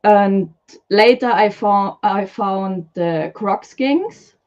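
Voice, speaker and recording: A woman's voice: medium pitch, very slow, darkish tone, highs cut off. A woman from Switzerland with a Swiss German accent, a guest talking about keeping reptiles, hesitant with many fillers. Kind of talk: live stream interview. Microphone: video call.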